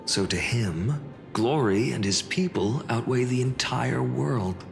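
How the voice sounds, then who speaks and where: An actor playing an earnest young video game hero, measured and reflective in delivery. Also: male voice, medium pitch, creaky. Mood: pensive. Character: earnest young hero haunted by his lost village. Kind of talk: English video game voice acting. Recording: long reverb, music behind.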